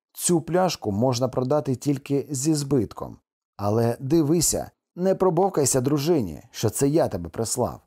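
A man's voice: medium pitch, dark tone. A man voicing a brooding husband from a storybook, stern and desperate, with an emphatic delivery.

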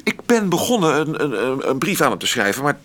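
Expressive, passionate lover. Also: male voice, medium pitch, fairly quick. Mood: anguished. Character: passionate, agitated male lover, romantic and rationalising. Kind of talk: radio drama acting. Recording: loud background ambience.

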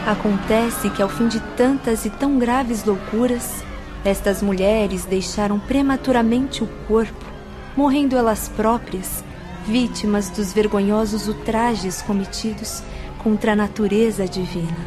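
A woman playing a spirit nun who guides visitors, speaking in a grave, instructive, measured way in a radio drama. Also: mid-range voice, fairly even intonation, lightly acted. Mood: solemn. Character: solemn nun spirit, stern female guide. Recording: music bed under voice.

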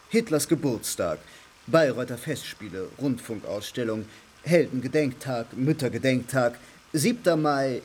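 Urbane theatre man, wry, lively pitch, faint noise. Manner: wry, mocking.

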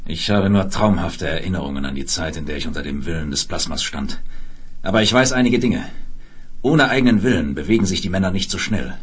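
Lowish, grave, commanding space leader. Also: male voice, lively intonation, fairly quick, breathy edge. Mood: grave, narrating. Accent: standard German. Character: commanding space administrator hero, grave and authoritative. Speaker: lead character and first-person narrator. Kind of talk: dramatic 1970s German radio play.

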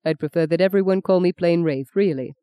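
A woman voicing a kindly, courteous middle-aged cardinal in an audiobook, speaking gently and reassuringly. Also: fairly low pitch, fairly quick, clean tone, close to an everyday voice. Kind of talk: audiobook character reading.

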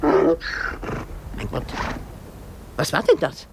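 A woman's voice: low voice, sing-song, drawn-out words, creaky, noisy background, heavily acted. An actress playing an old, self-pitying, hysterical married woman in a radio drama, rambling theatrically with sighs and exclamations. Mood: musing, uneasy. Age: older.

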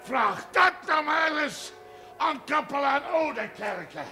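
An actor playing an angry, accusing, blunt man who shouts in a television period drama. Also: very high-pitched, lively intonation, takes it slowly, vocal fry, over music, heavily acted. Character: angry, shouting man quarrelling over village affairs.

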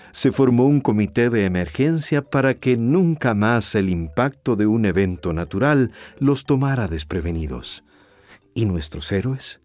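A man's voice: on the low side, a little mellow. A man giving scripted narration as the narrator of a radio drama.

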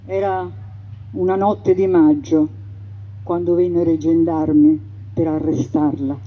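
A woman narrating a stage story in a theatrical, emphatic way, swinging between loud passages and hushed ones, heard through a radio broadcast. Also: low voice, fairly even intonation, measured pace, highs cut off, a little hiss or hum.

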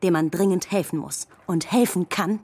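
An actress playing a cold, calculating campaign strategist in a satirical radio drama, husky, methodical and scornful. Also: female voice, lowish voice, normal speed, darkish tone. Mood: coolly calculating.

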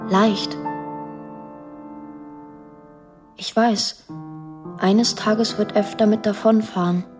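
A woman playing a lonely, dreamy twelve-year-old girl in a quiet, poetic radio drama monologue. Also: mid-range voice, lightly acted. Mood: wistful.

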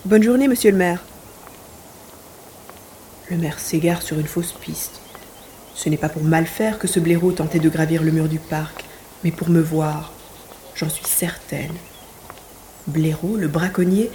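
A woman acts out a romantic young lady of good family, speaking formal literary lines in a soft, mellow, lowish voice. It is an audio drama with a roomy echo and faint noise behind. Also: close to an everyday voice. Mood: polite. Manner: exalted, formal literary diction. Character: romantic, dreamy young provincial lady, well-born. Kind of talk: audio drama monologue and dialogue.

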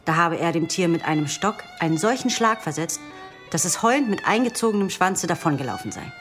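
Thoughtful young student, female actor: lowish voice, bookish storytelling delivery, radio drama, soft music underneath. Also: expressive, a little fast, lightly acted. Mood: reciting calmly.

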